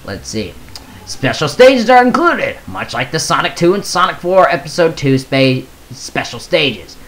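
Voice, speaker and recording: A gaming video creator giving casual commentary and reading a post aloud, halting and stumbling over words now and then. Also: mid-range voice, sing-song, a bit bright.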